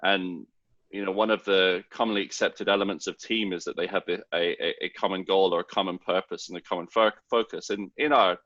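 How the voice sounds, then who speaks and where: Scottish man, low voice, reflective interview guest on a muffled remote line. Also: fairly even intonation, fairly quick, fairly bright tone, dry recording. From Scotland. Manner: thoughtful, explains at length with restarts. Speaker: co-founder guest. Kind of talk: casual interview talk.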